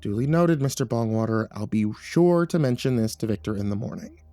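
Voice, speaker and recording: A dreadful, demanding female assistant director from an audiobook, as a male narrator puts her on. Her delivery is stiff and officious. She sounds put-upon and exasperated, in a somewhat raised pitch. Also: sing-song, brisk, faint music behind. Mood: indignant. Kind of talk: audiobook character voice.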